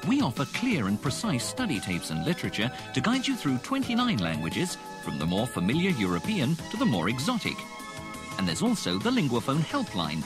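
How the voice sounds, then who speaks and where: A man with a British accent playing a polished, friendly presenter in a scripted promotional narration. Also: on the low side. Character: polished, friendly male presenter of a language-course advertisement. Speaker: advertisement narrator and interviewer. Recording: music bed, 1980s cassette advert. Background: music.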